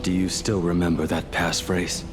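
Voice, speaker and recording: A man playing a stoic, world-weary ancient swordsman in a video game, grave and terse, his phrases often sinking into a breathy hush. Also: low voice, flat delivery, normal speed, over music, close to an everyday voice. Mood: solemn, resigned. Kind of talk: dramatic video game voice acting.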